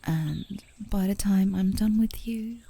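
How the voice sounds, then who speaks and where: Breathy, slow-talking coaxing girlfriend, played by a woman. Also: medium pitch, full character voice. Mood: caring, gentle. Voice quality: soft, breathy, often whispering. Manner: soothing, playfully coaxing, with small chuckles. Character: gently coaxing, teasing, affectionate girlfriend on a park date. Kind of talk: intimate ASMR girlfriend roleplay audio.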